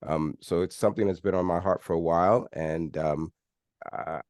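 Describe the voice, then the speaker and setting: Middle aged guy, super deep voice, dry close sound, earnest little opening talk. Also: fairly even intonation, normal speed, a little mellow, no echo. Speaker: pastor and New Testament professor. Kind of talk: brief opening remarks.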